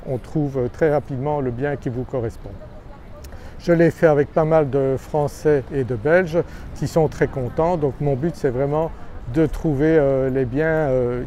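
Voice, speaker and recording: A man's voice: medium pitch, warm tone, a little hiss or hum. A man from Brussels, talking to camera outdoors in a seaside town as a property finder, hesitant with many filler sounds and friendly in his persuading. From Brussels, Belgium. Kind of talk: casual vlog pitch to camera.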